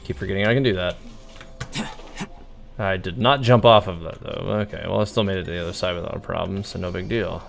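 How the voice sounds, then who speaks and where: A man's voice: deep voice, fast, creaky. A man giving casual, easygoing live game commentary as a streamer, thinking aloud as he plays. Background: game music.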